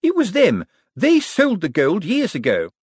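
A man's voice: sits high, wildly swooping pitch, measured pace, slightly rough, no echo, studio quality, voice pushed up, exaggerated voice. A man voicing an indignant, fiercely protective woman in an audiobook, outraged and emphatic. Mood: indignant, triumphant.

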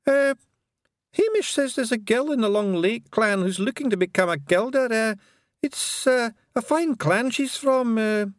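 A man's voice: extremely high pitch, animated, unhurried, dry recording, studio quality, pitched above the performer's own voice. A man voicing a tiny nervous male pixie with a Scottish accent, eager and stammering. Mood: embarrassed.

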